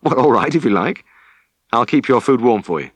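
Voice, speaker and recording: A kindly farmer's wife as a male narrator plays her speaks in a raised pitch. She is homely and undemonstrative, in a dry storybook reading. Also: animated, normal speed, dry recording, close to an everyday voice. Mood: mild, obliging. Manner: homely, understated.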